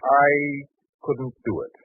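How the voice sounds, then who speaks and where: A man's voice: mid-range voice, flat delivery, slow, breathy edge, no room sound. An actor playing an anguished, indecisive husband and father in a 1940s radio drama, hesitant and brooding. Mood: troubled. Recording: thin, muffled 1940s radio recording.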